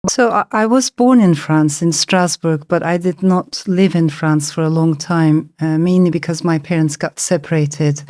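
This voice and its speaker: A middle-aged woman who grew up in Turkey, speaking English as a later language and answering questions at an unhurried, reflective pace. Her voice sits a little low, and she pauses and restarts as she searches for the words. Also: darkish tone. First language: Turkish. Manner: thoughtful, searching, with frequent fillers and restarts. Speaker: novelist guest.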